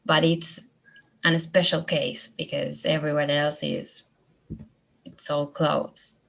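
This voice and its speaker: Low gravelly young woman on a call. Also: level tone, slow. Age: 20s. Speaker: student panelist. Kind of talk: casual chat. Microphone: video call.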